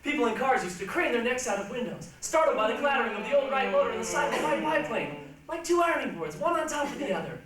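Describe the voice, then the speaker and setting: A woman performing a dramatic stage reading with measured, theatrical storytelling. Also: on the high side, big pitch swings, a loud crowd. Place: large echoey room, recorded from a distance. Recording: echoey hall, distant mic, faint noise.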